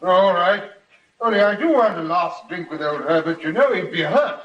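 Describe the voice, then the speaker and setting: An actor playing a hearty, bumbling English gentleman in an old-time radio drama. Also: sits high, lively intonation, normal speed, slight background noise. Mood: jovial, hesitant. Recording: echoey, distant, dull old radio recording.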